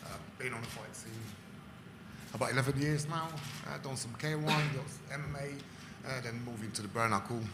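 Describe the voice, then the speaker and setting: A man from Poland, a fighter, introducing himself softly with many fillers at a press conference. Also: medium pitch, normal speed, echoey room, heavy background noise.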